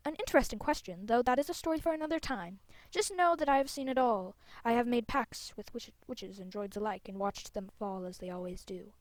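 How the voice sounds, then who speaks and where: A woman's voice: a little high, a little fast, dry recording, lightly acted. Game role: aloof ancient tree spirit, solemn, cryptic, weary, read by a woman.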